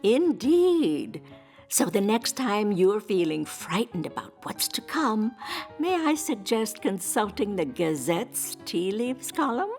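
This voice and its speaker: A woman's voice: mid-range voice, sing-song, takes it slowly, darkish tone, faint music behind. A woman playing a prim, earnest ghost newspaper reporter, polite, wordy and a little hesitant, in an audio drama. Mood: earnest explaining. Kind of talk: audio drama character acting.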